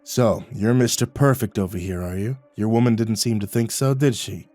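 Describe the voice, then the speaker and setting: A man voicing a boozy old male war veteran who talks in a low, muttering, menacing way. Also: moderate pace, darkish tone, no echo, natural voice.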